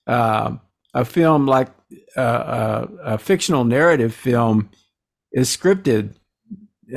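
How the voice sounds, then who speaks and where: A man hosting a podcast interview, asking measured, curious questions. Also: medium pitch, a little mellow. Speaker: podcast host.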